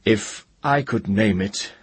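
A bold young wizard from a fantasy storybook acted by a man speaks out firmly and defiantly. The voice is lifted and husky, wary but unyielding, captured close and dry. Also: fairly low pitch, takes it slowly, dry recording, pitched above the performer's own voice, full character voice. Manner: loud, firm, defiant calling out. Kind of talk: audiobook fantasy character voice.

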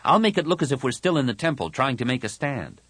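A man's voice: mid-range voice, very animated, fast talker, fairly bright tone, slightly rough, no room sound, voice pushed up. A man voicing an earnest, slightly awkward teenage boy hero in an audiobook. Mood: resolved. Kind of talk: audiobook character dialogue.

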